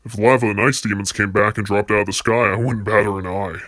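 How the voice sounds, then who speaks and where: A man voicing a gruff, blunt, sardonic card duelist with a menacing edge for an amateur anime-style series. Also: lowish voice, steady tone, fast talker, fairly bright tone, no echo, clean studio sound, close to an everyday voice. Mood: serious, grim.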